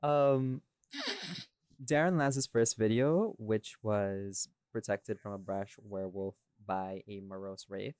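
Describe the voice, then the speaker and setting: A man's voice: lowish voice, steady tone, takes it slowly, darkish tone, clean tone, no room sound, studio quality. A man hosting a casual behind-the-scenes podcast chat in a rambling, informal way. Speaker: podcast host and audio creator.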